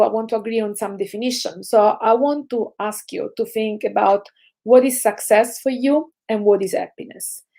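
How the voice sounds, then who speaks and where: A woman of about forty from southern Italy with an Italian accent, giving a prepared motivational talk to students in a reflective way, stressing the start of phrases and trailing off softly. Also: mid-range voice, creaky. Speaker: speaker sharing career lessons with students.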